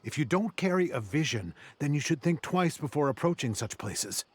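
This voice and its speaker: A man's voice: fairly high pitch, sing-song, moderate pace, husky. An actor playing a dutiful male forest ranger in a video game, explaining things earnestly. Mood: earnest, warning. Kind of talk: English video game voice acting.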